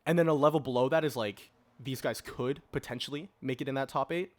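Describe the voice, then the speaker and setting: A man's voice: medium pitch, brisk. A man in his early twenties hosting a casual podcast discussion, informally steering and organizing the conversation. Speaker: podcast host.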